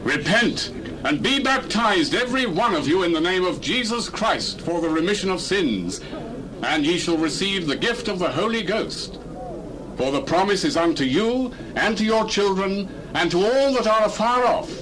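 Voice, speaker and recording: A man's voice: high-pitched, a bit bright, rough recording. A male actor playing a bold, fervent apostle preaching solemnly and emphatically in a dramatized Bible reading. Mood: urgent exhortation. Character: Peter, fervent apostle preaching boldly to crowds.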